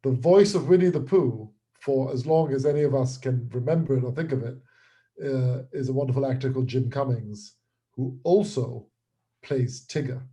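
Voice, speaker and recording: Man, mellow relaxed tone, mid pitch, casual interview guest, explanatory, restarts his words. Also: normal speed. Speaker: writer and creator, interview guest.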